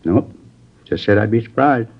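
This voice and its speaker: An actor playing a plain-spoken neighbor who gives a witness account in a folksy, rambling way, in a 1950s radio drama. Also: male voice, lowish voice, lively intonation, normal speed. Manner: folksy, rambling, ungrammatical speech. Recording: dull lo-fi old radio recording.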